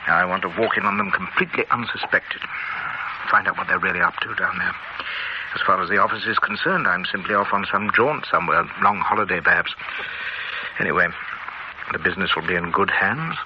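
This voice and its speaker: An actor playing a confident businessman with a brisk, clipped manner and hearty asides. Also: sits low, lightly acted. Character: confident English businessman, genial boss. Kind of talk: television drama acting. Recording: muffled old TV audio with hiss.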